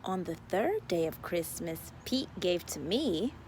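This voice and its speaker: A woman reads a picture book aloud for children with a very animated, swooping voice. Her pace is unhurried, with words drawn out in a playful sing-song. Some background ambient noise sits behind her. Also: lowish voice, poor audio. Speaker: read-aloud story time host.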